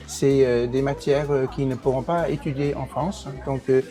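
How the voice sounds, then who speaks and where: A man who is an English teacher and program director, answering interview questions with many pauses, fillers and restarts. English is his first language. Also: medium pitch, steady tone, warm tone, faint music behind.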